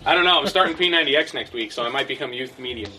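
A man guesting on a casual podcast, loud and joking, who calls himself loud-voiced. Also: mid-range voice, racing, slight background noise, rough recording. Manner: loud, lively, says he has a loud voice.

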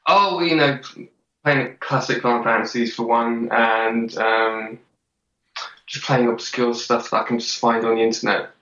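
A man's voice: medium pitch, fairly even intonation, a little slow, pushed and bright, reverberant, recorded from a distance, muffled recording. A man in his twenties chatting as a podcast guest, hesitant with many ums. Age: 20s. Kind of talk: casual chat.

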